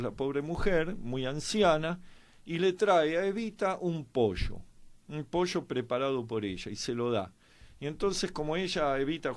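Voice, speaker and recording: A man with an Argentine accent telling anecdotes conversationally as a guest commentator, halting often with pauses and filler words. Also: fairly high pitch. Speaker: guest commentator on a history and politics programme.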